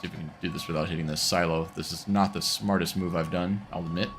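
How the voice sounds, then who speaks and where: A man's voice: deep voice, steady tone, fast, fairly bright tone, slight background noise. A man with a congested voice from a cold, rambling easily through casual commentary while streaming a driving game. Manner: rambling, self-correcting, easygoing.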